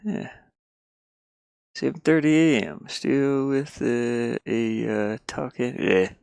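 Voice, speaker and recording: A man's voice: mid-range voice, takes it slowly, vocal fry, a little mellow, smooth, dry recording. A man chatting casually and loosely while he works, mumbling asides to himself in an informal, rambling way. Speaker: streamer and hobby game developer. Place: inside a van.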